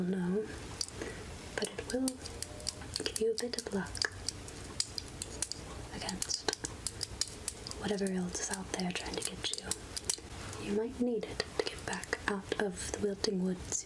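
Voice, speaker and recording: A woman voicing a friendly, centuries-old witch of the woods in a soft-spoken ASMR roleplay, gentle and musing in a breathy whisper. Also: mid-range voice, steady tone, natural voice, poor audio. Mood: gentle, helpful. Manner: slow, gentle, musing, archly addressing a mortal. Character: three-hundred-year-old witch of the woods, friendly, wryly curious.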